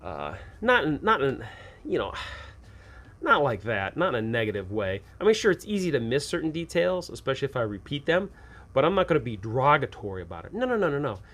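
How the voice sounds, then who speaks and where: A man's voice: medium pitch, big pitch swings, fairly quick, a bit bright. A man hosting an informal advice video for game masters, talking conversationally and emphatically and often repeating his points. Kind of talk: informal advice talk to viewers about running tabletop games.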